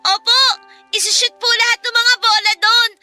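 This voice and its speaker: Very high, bright little girl. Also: normal speed, faint music behind, exaggerated voice. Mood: eager, pleading. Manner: excitable, giggly, coaxing, politely childlike. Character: eager, sweet, polite little daughter, young child. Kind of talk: Filipino radio drama acting.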